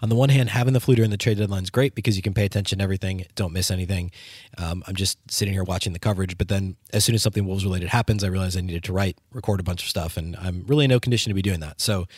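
Hoarse, fast-talking man hosting a podcast. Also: deep voice, a little mellow, no room sound, clean studio sound. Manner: fast rambling analysis with many ums and restarts. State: sick with the flu, hoarse. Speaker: podcast host. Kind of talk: solo sports podcast commentary.

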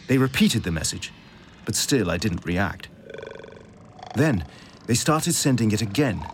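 A brooding vengeful spaceship ai, deepish voice, slow intense audio drama narration over loud music. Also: male voice. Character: vengeful artificial intelligence in a vast spaceship body.

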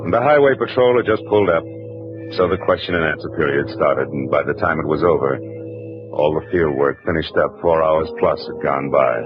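Give me a dry, hard-boiled detective narrating low and deep from a dull old radio drama. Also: male voice, a little fast, over music. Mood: wry narration. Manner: dry, wisecracking first-person narration. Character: hard-boiled male private eye, wry and tough. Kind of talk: 1940s radio acting.

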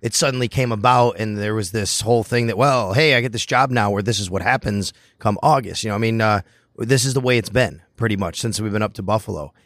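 A man with a worn, hoarse rasp talks quickly and casually as a podcast guest. His delivery is brisk and animated. The sound is dry and close, recorded in a bar. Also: lowish voice, no room sound. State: hoarse, raspy from overuse. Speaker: podcast guest, sports beat reporter. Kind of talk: casual chat. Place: bar or restaurant.

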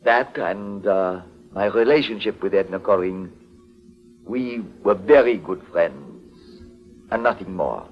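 An actor playing a polite, anxious foreign exporter in a 1940s radio detective drama, speaking formally and hesitantly. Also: male voice, deep voice, animated, slow pace, soft background music, full character voice. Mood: hesitant, pleading. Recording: dull old 1940s radio broadcast.

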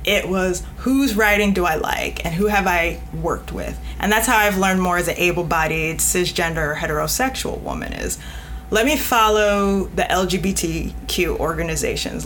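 A woman's voice: on the low side, a bit bright. Emphatic woman in a noisy room. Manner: emphatic, voices imagined replies as quotes. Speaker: podcast guest, literary editor. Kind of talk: panel interview talk.

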